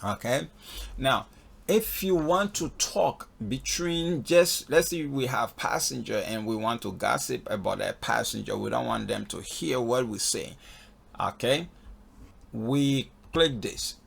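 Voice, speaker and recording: A man with a very gravelly, fry-laden voice talks through a procedure as an instructor. His tone is husky and mid-pitched. He explains casually, one step at a time, pausing to check in with the learner. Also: animated, fairly bright tone. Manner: step-by-step, repeatedly checking in with "okay". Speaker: flight instructor in a tutorial video. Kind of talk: casual spoken software walkthrough. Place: voice-over on a screen recording.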